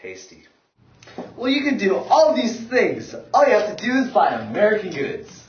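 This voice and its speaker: A man playing a cheeky, pushy pitchman in a parody radio commercial. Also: wildly swooping pitch, normal speed. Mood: mock enthusiasm. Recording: echoey, distant, dull, clicky noisy recording.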